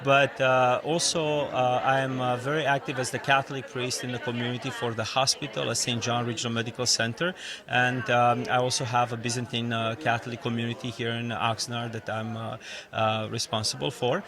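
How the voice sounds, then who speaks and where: A middle-aged man with a Romanian accent speaks earnestly, pausing often on "uh" as he searches for words. His voice sits at an ordinary pitch for a man. Chatter from a crowd at an outdoor event fills the background. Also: level tone. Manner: earnest, hesitant with many "uh" fillers. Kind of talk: event interview. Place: outdoor community holiday event.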